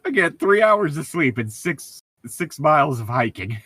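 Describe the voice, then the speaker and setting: A man's voice: fairly high pitch, sing-song, normal speed, fairly bright tone, no echo, studio quality. A man co-hosting a comedic read-aloud, bantering casually with sarcastic, joking, profane commentary. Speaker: co-host reading and mocking fan fiction.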